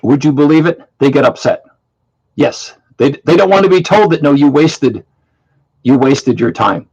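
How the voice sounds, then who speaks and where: A man's voice: a little high, normal speed, darkish tone, husky. A man in his seventies hosting an online question session, talking bluntly and dismissively and often repeating himself. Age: 70s. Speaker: host. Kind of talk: casual monologue to an online audience. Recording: muffled lo-fi audio.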